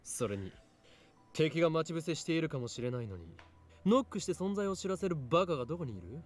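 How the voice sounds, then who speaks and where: A man's voice: on the high side, expressive, rapid-fire, faint music behind, lightly acted. A man voicing a grandiose, pompous young self-styled mad scientist in a visual novel, with theatrical declamation. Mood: haughty, scolding. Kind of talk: Japanese visual novel voice acting.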